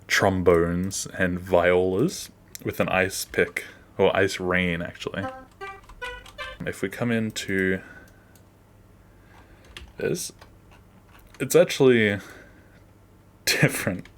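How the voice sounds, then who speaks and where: A man giving a casual behind-the-scenes walkthrough as an animator, offhand and self-deprecating, often trailing off. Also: deep voice. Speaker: animator, video creator.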